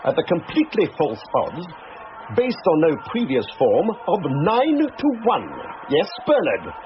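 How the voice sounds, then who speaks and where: An actor playing an authoritative upper-class brigadier and racing steward in a radio drama, precise, pompous and commanding. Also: male voice, fairly high pitch, wildly swooping pitch, unhurried. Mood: stern, scornful. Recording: slightly noisy, dull radio drama.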